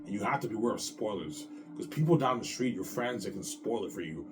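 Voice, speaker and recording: A man's voice: fairly low pitch, expressive, a little fast, over music. A man talking casually and at length about his self-published book, boastful and repetitive, swearing now and then. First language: English. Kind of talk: casual rambling monologue.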